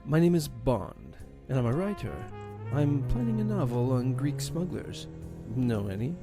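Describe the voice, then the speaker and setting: A man's voice: medium pitch, sing-song, measured pace, music in the background. A man playing a suave, determined British secret agent in an amateur audio drama. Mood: smooth, charming.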